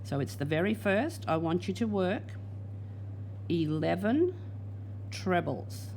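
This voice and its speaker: A woman teaching crochet step by step, patient and plain, her voice going hoarse. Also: on the low side, big pitch swings, takes it slowly, a little mellow, slight background noise. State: losing her voice. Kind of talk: crochet tutorial.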